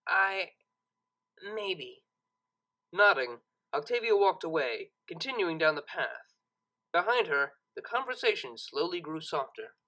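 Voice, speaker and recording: A woman's voice: sits low, very animated, moderate pace, pushed and bright, rough recording. A woman reading a story aloud as a narrator, in a dramatic scripted style. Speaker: audiobook narrator.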